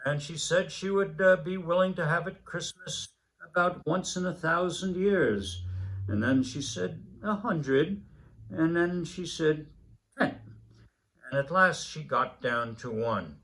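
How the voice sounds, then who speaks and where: Older man, higher-pitched voice, reading aloud. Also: slow pace, a bit bright, low-quality recording. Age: 70s. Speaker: story reader. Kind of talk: reading a children's Christmas story aloud.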